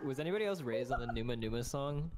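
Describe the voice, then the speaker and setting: Excitable player with noisy crowd behind. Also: mid-range voice. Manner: enthusiastic, quick reactions. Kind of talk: casual chat.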